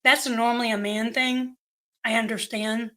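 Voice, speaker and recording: A woman's voice: on the high side, flat delivery, moderate pace, bright, forceful tone. A middle-aged woman from New Hampshire, co-hosting a podcast in casual conversation with a teasing, plain-spoken manner. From New Hampshire, United States. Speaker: podcast co-host.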